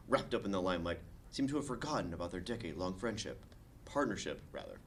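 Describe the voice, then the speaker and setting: A man's voice: on the low side, slight background noise. Man narrating a script at a table read. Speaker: narrator reading stage directions.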